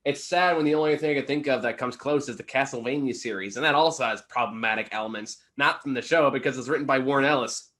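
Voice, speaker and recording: A man's voice: medium pitch, fast, hardly any pauses, bright, forceful tone. A man co-hosting a casual, unscripted review chat, talking in a wry and rambling way. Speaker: movie reviewer, co-host.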